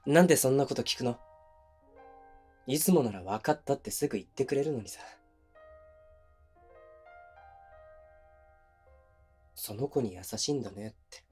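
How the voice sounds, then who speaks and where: Guy playing young boyfriend in a voice drama, soft casual talk, kinda oblivious, mid pitch, music behind. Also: lively intonation, quick pace, husky. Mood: puzzled, defensive. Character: young man, considerate to others, obliviously neglectful boyfriend. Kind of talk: intimate situation-voice audio drama, naturalistic one-sided dialogue.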